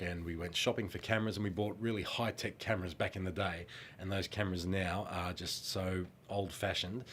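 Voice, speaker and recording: A man's voice: fairly low pitch, a little hiss or hum. A middle-aged Australian man with a blocked nose from a cold, chatting casually through fan questions in a friendly, rambling way with lots of ums. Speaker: former children's band singer answering fan questions.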